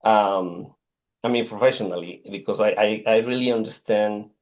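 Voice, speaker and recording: A middle-aged man from Mexico with a Mexican Spanish accent, answering podcast interview questions as a guest, halting and searching for words with many pauses and restarts. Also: lowish voice, a little mellow. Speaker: guest, technology executive.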